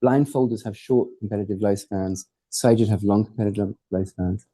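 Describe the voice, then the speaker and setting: Very dark-voiced man giving a rambling lecture. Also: lowish voice, fairly quick, husky. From born in Hawaii, raised in Portugal and England. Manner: informal, digressive, jokey, swears for effect. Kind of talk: academic talk with slides. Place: lecture hall.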